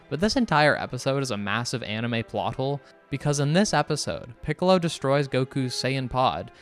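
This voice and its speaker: The wry male narrator of an online fan video essay, picking things apart at a medium pitch over a music bed. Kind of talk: scripted narration. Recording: music behind the voice. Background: background music.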